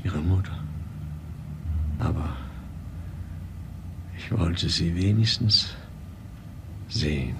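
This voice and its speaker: An actor playing a weary, dying father who keeps a secret, speaking in a halting, subdued way in a drama. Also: low voice, vocal fry, heavily acted. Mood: weary, resigned. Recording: noisy old drama soundtrack.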